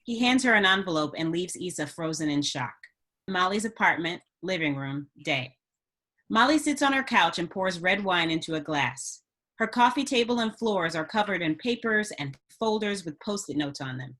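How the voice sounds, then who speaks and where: A woman's voice: on the low side, lively intonation, moderate pace, a bit bright. A woman reading screenplay stage directions as a scripted narrator.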